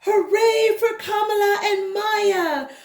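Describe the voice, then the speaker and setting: A woman reading a storybook aloud to young children, expressive and full of exclamations, with chatty asides to her listeners. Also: very high-pitched, slow. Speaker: children's storybook reader and channel host. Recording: roomy, echoing sound.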